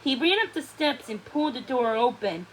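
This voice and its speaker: A woman's voice: sits high, very animated, moderate pace, a bit bright, slight background noise, low-quality recording. A woman reading a children's storybook aloud as narration. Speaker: story reader.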